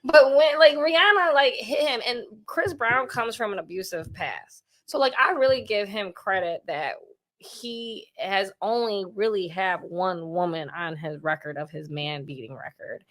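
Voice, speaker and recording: A woman's voice: mid-range voice, a little slow, a bit bright. A woman in her thirties talking candidly as a podcast guest, earnest and rambling, often asking "you know what I'm saying". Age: 30s. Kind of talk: candid conversational talk.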